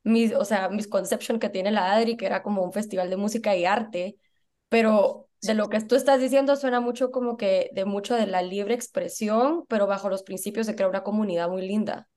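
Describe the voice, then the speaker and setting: A woman with a medium-pitched voice hosting a podcast. She speaks in a casual, conversational way and slips in the odd English word. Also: steady tone, fairly quick, a little mellow. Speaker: podcast host. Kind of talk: casual chat.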